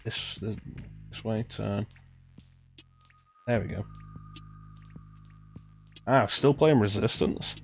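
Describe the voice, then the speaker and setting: A man's voice: fairly low pitch, takes it slowly, creaky, long pauses, soft background music. A man streaming a video game with casual live commentary, talking in rambling, conversational asides.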